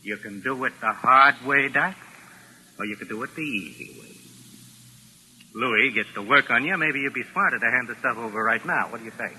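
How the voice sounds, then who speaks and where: A man's voice: medium pitch, fairly quick, sharp tone, a little hiss or hum, rough recording. An actor playing a ruthless, bossy American gangster in 1940s radio drama, talking in menacing tough-guy slang. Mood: threatening. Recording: old 1940s radio broadcast recording.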